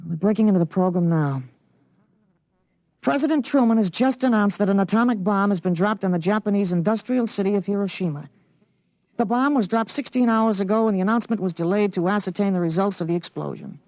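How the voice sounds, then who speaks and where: A man's voice: high voice, a little fast, a little mellow, no echo. An actor playing a precocious thirteen-year-old boy in 1950s radio drama, earnest, matter-of-fact and insistent. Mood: grave, certain.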